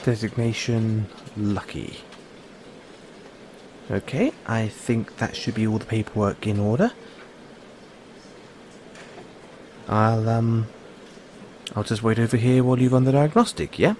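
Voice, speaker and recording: A man with a British accent acting in an audio roleplay as a kindly, anxious, furtive android owner, speaking haltingly with stammers. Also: lowish voice, darkish tone, a little hiss or hum. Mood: uneasy.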